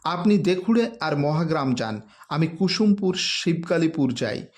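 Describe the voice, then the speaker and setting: A man voicing an earnest village schoolmaster who is polite, measured, concerned and decisive. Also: a little high, animated, a little mellow. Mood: decisive urging.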